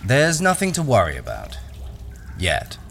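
A man's voice: mid-range voice, wildly swooping pitch, a little slow, vocal fry, fairly bright tone, slight background noise, exaggerated voice. A man playing a wary, experienced miner, acting and narrating a radio crime thriller in a guarded, brooding way. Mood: terse. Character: wary, experienced male miner, blackmailed, narrating his ordeal.

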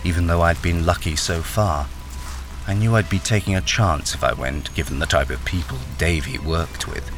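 A weary, deep-voiced male drifter. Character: weary, wary ex-soldier miner narrating his own story. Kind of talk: radio crime drama, first-person narration and dialogue.

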